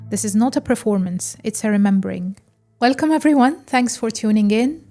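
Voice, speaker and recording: A woman from Egypt hosting a solo podcast, speaking in a calm, measured way with pauses and fillers. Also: a little high, soft background music. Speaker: podcast host. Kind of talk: reflective solo podcast talk.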